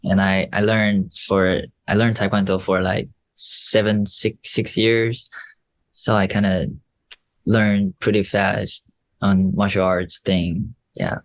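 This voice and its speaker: A young man with a Chinese accent, a native Chinese speaker, answering in halting, simple English with restarts. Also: on the low side, flat delivery. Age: young adult. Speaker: young actor interviewed as guest.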